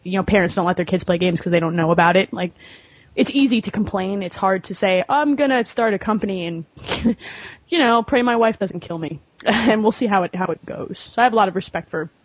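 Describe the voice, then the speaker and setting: Husky woman, blunt casual talker. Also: medium pitch, a little fast, dry recording, dull audio. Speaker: podcast guest. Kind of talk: casual chat about video games.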